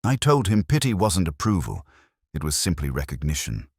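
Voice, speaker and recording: Very deep-voiced man narrating detective fiction. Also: wildly swooping pitch, husky, no echo, studio quality. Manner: measured, literary, reflective delivery. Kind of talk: scripted first-person detective story narration.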